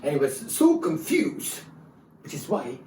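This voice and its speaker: A man telling a dramatised story for children, expressive and speaking directly to his listeners. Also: a little high, a little slow, slight background noise. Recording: roomy, distant mic with faint music.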